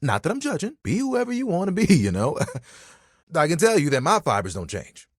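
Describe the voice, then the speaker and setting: A man's voice: a little high, very animated, moderate pace, slightly rough, no echo, studio quality. An actor voicing a talking bath towel in a dating video game, a bashful, eager young guy who rambles casually and self-consciously in puns. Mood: earnest, awkward. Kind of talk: dating game character dialogue.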